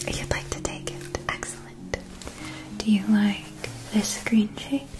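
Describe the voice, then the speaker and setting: A gentle witch shopkeeper, acted by a woman, whispers through a fantasy ASMR role-play. Her delivery is very even and unhurried, with careful enunciation. She is attentive and soothing as she presents each magical item to her young customer. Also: medium pitch, over music, lightly acted, rough recording.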